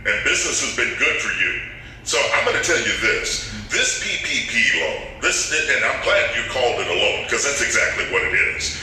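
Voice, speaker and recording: A man's voice: mid-range voice, big pitch swings, normal speed, sharp tone, slight background noise. A man hosting a call-in advice show, giving direct, emphatic advice. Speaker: radio show host. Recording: played from a distant, echoey show clip.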